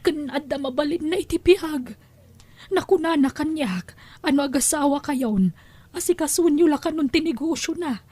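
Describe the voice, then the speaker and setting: A woman's voice: high-pitched, moderate pace, warm tone, no room sound, natural voice. A woman acting a pleading, insistent middle-aged mother burdened by debt in an Ilocano audio drama, with wheedling delivery.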